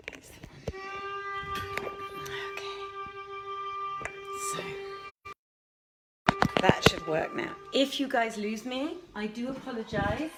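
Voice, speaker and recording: A woman chatting casually to live-stream viewers, halting and thinking aloud as she busies herself. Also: on the high side, roomy sound. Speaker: theatre performer hosting a livestream reading. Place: inside a theatre building, moving between rooms. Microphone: phone.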